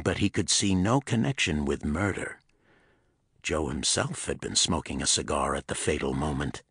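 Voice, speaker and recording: A man narrating a pulp thriller audiobook in a dramatic, husky storytelling voice. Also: rumbling low, a bit bright, no room sound, studio quality.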